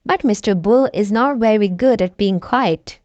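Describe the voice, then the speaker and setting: A woman narrates a picture book for small children. She goes slowly and deliberately at a middling pitch, with almost no room sound around her voice. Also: darkish tone, no echo, muffled recording. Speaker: children's storybook reader. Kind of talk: read-aloud bedtime story narration.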